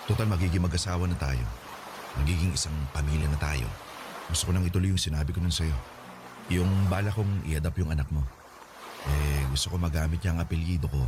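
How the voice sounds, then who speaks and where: A man's voice: rumbling low, noisy background. A Filipino man acting a devoted, earnest and playful fiancé in a radio drama.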